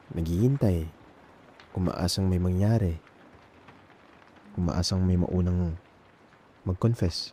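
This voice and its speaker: A man with a Filipino accent playing a nervous, tender young man in an intimate ASMR boyfriend roleplay, speaking haltingly and repeating words. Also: low voice, dark tone, slight background noise, lightly acted. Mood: soft, intimate. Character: nervous, tender young man confessing love to male best friend. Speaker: ASMR audio roleplay performer.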